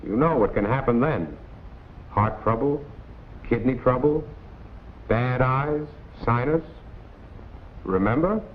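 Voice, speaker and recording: A man's voice: medium pitch, lively intonation, slow pace, long pauses. An American man narrating a 1940s instructional film in a plain-spoken, colloquial, admonishing way. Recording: tinny, noisy 1940s film soundtrack.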